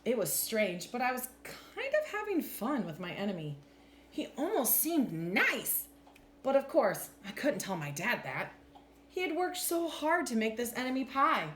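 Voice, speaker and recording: A woman's voice: a little high, a little slow. A woman reading a storybook aloud like a teacher, expressively acting out the lines. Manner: animated, clearly acts out lines. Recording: echoey room with faint background noise.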